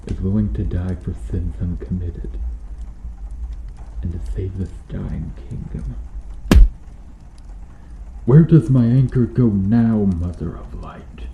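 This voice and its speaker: A brooding medieval knight, acted by a man, with a deep voice and a slow, solemn delivery. His speech is formal and archaic, protective and full of remorse, in an intimate roleplay style. It sounds in a roomy, echoing space. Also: phone-quality sound. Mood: bitter, shaken, then anguished. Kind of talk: ASMR roleplay, slow dramatic acting addressed to the listener. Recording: roomy echo, faint background noise.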